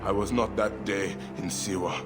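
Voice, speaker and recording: A man's voice: low voice, steady tone, normal speed, natural voice. An actor playing a grieving Egyptian warrior father who leads a brotherhood in a video game, earnest and intense. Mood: solemn, rousing. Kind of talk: dramatic video game acting. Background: background music.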